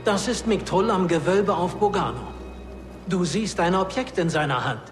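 An actor playing a solemn, scholarly mentor who explains things in a measured way, in an audio drama. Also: male voice, high voice, faint music behind, close to an everyday voice. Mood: solemn, intent. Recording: long hall reverb.

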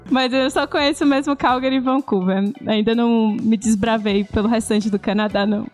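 High-voiced, joking Brazilian podcast host. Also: female voice, normal speed, long pauses, soft background music. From Salvador, Bahia, Brazil. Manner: lively, joking. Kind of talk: casual podcast chat.

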